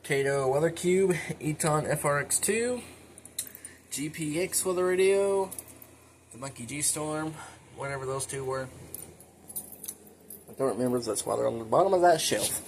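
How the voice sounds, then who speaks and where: A man's voice: on the high side, expressive, normal speed, pauses often. A man giving a casual, folksy show-and-tell narration with muttered asides. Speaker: hobbyist showing a collection. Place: indoors at home. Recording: noisy home recording with radio chatter. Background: weather radios playing, background noise.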